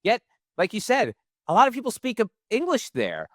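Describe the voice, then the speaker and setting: A male podcast host asks questions in a loose, casual way with lots of fillers. His voice sits a little high for a man. The close, dry recording has almost no room sound. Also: wildly swooping pitch, moderate pace, fairly bright tone, no room sound. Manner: loose, chatty, filler words.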